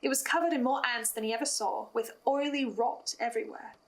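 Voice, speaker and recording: A woman delivering scripted analytical commentary as a host, in a musing, dramatic way with deliberate pauses. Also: a little high, a little hiss or hum. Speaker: host of a podcast reaction and theory video.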